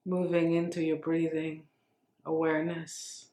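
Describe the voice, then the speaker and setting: A woman leading a guided meditation with gentle, unhurried phrasing and long pauses. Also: sits low, monotone. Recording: roomy, echoing space.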